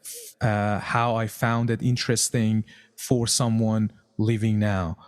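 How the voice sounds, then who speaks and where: A man, a composer and music teacher, speaking English as a learned language in a relaxed interview, often pausing to find his words. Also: fairly low pitch. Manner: thoughtful, searches for words in a second language. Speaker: composer and music educator, podcast guest. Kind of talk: casual conversation.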